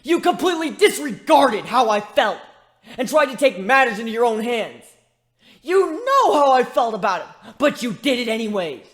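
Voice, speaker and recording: A man's voice: very high voice, big pitch swings, normal speed, a bit bright, pitched above the performer's own voice, exaggerated voice. A man voicing a young male griffin in a dramatic story reading, hurt and bitter, ranting with sarcastic emphasis. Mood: furious. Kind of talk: dramatic fan fiction reading.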